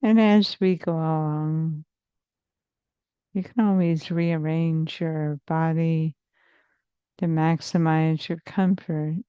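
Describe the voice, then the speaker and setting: A woman therapist leading a guided meditation in a soothing, measured, hypnotic manner with long pauses, on slightly muffled audio. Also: low-pitched, fairly even intonation, smooth, dry recording. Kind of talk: slow guided meditation narration.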